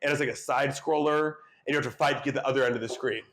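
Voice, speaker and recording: A young adult man, a political candidate and schoolteacher, chatting in a relaxed, rambling way full of filler ums as a guest. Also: mid-range voice, fast talker. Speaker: state senate candidate and schoolteacher. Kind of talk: casual interview chat.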